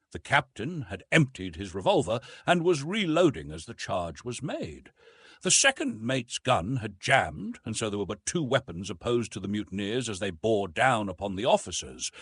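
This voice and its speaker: Husky, expressive male audiobook narrator. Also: mid-range voice, no room sound, clean studio sound. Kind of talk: scripted narration of a classic adventure novel.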